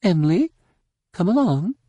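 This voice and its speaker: A man acts out an imperious noblewoman in a science fiction audiobook. The voice is husky and mellow, raised above his usual talk, with regal, formal phrasing. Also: a little high, expressive, a little slow, no room sound, muffled recording, pitched above the performer's own voice. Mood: irritated. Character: haughty noblewoman, imperious and commanding, in disguise.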